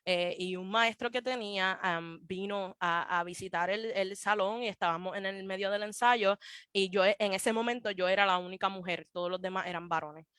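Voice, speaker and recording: A woman's voice: mid-range voice, steady tone, moderate pace, fairly bright tone, smooth, no echo. Reflective Puerto Rican woman, dry sound. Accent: Puerto Rican Spanish. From Puerto Rico. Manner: reflective, frequent hesitations and English asides. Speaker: guest. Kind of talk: casual conversation.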